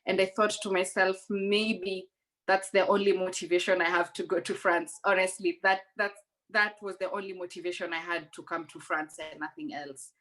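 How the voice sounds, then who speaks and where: A woman's voice: lowish voice, little pitch movement, normal speed, a bit bright, poor audio. A young woman from Rwanda, raised in Kenya, speaking earnestly as a guest in a conversational interview, often restarting her sentences.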